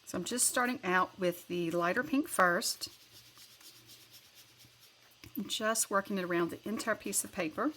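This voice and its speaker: Chatty Southern woman with a bright voice. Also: mid-range voice, unhurried, pauses often, slight background noise. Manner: chatty, rambling, self-correcting. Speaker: card-making channel host. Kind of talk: casual craft tutorial.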